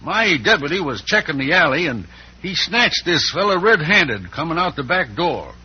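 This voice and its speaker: Very husky, folksy Western sheriff. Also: male voice, on the high side, big pitch swings, normal speed, exaggerated voice. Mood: chatty, puzzled. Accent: American Western. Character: chatty, folksy small-town Western sheriff. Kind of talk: old-time radio western acting. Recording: muffled old radio recording.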